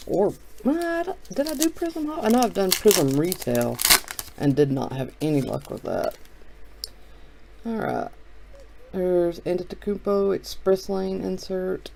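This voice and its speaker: A middle-aged man hosting a casual, relaxed chat, thinking aloud as he opens packs of cards. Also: sits high, vocal fry, slight background noise. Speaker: card shop host. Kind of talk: casual chat while opening card packs.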